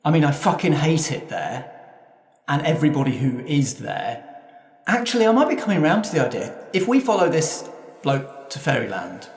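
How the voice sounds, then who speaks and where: A man's voice: fairly high pitch, sing-song, moderate pace. Guy doing a bitter sweary sidekick with a grudge, blunt and grumbly, loads of long reverb, improvised roleplay. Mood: grudging, resentful. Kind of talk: improvised roleplay for an actual-play podcast. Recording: echoey, long hall-like reverb.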